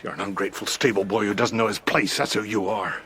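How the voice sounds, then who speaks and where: An actor playing a stern, anxious lord in a radio drama, a gruff and curt guardian. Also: male voice, fairly low pitch, fairly even intonation, fast talker, fairly bright tone. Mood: angry, guarded. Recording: faint background noise.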